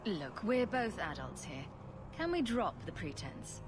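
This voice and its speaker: An actress playing a confident, sardonic young adventurer in a video game, speaking in a dry, direct way. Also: female voice, medium pitch, very animated, measured pace. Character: wry, confident young female adventurer hero. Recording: loud ambient noise behind.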